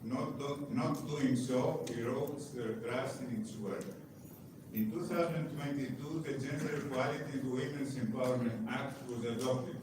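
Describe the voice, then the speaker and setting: A Greek-accented man delivers a prepared statement in a steady, measured reading voice. He speaks from some distance from the mic in a very echoey hall. Clear background noise fills the room. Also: medium pitch. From Greece. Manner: measured, reads carefully with occasional restarts. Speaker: head of parliamentary delegation. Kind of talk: formal prepared press statement.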